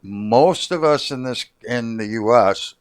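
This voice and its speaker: A man hosts a fishing show in a loose, rambling way, full of jokes and everyday slang. His voice sits at an ordinary middle pitch. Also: slow pace, clean tone. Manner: joking, rambling, colloquial. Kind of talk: casual chat.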